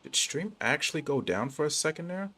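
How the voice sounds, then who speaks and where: A man's voice: on the high side, sing-song, a little fast, bright, forceful tone, breathy edge. A man giving casual, off-the-cuff livestream commentary as he plays a video game. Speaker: game streamer. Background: faint game music.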